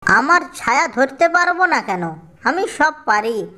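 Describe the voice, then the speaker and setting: A woman plays a sly, greedy cartoon fox with a high voice. The fox speaks slowly and boastfully, gloating in a children's story. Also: expressive, bright, forceful tone, heavily acted.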